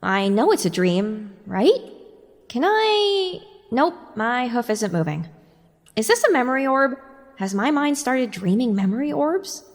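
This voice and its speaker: A woman's voice: fairly high pitch, sing-song, unhurried, heavily acted. A woman playing an anxious, vulnerable young pony mare in an audio drama, plaintive and questioning. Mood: bewildered. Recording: long hall-like reverb.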